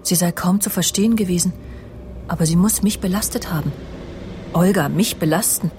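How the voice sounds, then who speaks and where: A woman's voice: fairly low pitch, normal speed, a little mellow, slight background noise, close to an everyday voice. An actress playing a vain, self-assured woman journalist in a radio crime drama, confiding and imperious as she justifies herself. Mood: indignant, self-justifying.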